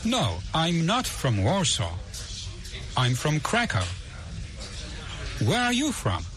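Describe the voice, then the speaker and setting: A man's voice: a little high, huge pitch swings, takes it slowly, exaggerated voice. A man acting a polite Polish student in a scripted lesson dialogue, speaking careful learner's English. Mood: earnest.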